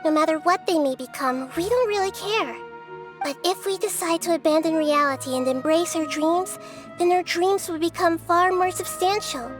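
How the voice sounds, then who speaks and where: Wise little girl goddess, high voice, gentle and thoughtful, game dialogue over music. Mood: earnest explaining. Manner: measured, earnest explaining. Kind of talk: video game voice acting. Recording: music behind the voice.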